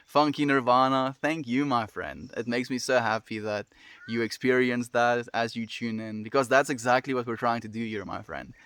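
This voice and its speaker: A young man with a South African accent, hosting a casual livestream, answering chat in a gentle, affectionate way and often calling listeners "my friend". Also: medium pitch, moderate pace, clear, resonant tone, no echo. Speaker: livestream host reading chat.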